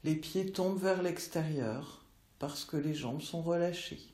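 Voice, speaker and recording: A woman teaching yoga nidra, guiding relaxation in measured instructional phrases with long pauses. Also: low voice, warm tone. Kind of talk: slow guided relaxation meditation. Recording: roomy, echoey voice recording.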